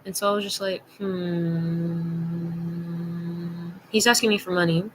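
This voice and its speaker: A woman's voice: on the low side, steady tone, a little slow, a little hiss or hum. A young adult woman telling a personal story casually, in a blunt, slangy, emphatic way, acting out bits of dialogue. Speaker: storytime vlogger.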